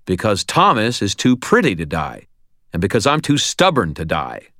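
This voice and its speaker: A man's voice: on the low side, wildly swooping pitch, moderate pace, sharp tone, no room sound, clean studio sound, voice pushed up, full character voice. A man voicing a stubborn, commanding hero in an audiobook, speaking tightly and emphatically to rally someone. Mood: fierce. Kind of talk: audiobook character acting.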